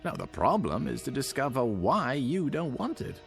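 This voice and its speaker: A man's voice: mid-range voice, very animated, moderate pace, creaky, faint music behind. A man playing a patronising doctor in a radio drama, with hesitant, condescending reassurance. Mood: reassuring. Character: smooth, patronising male doctor at a futuristic clinic.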